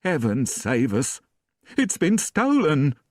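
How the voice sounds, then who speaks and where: A man voicing an alarmed elderly housekeeper, crying out in a high, shrill voice. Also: wildly swooping pitch, takes it slowly, no echo, studio quality, exaggerated voice.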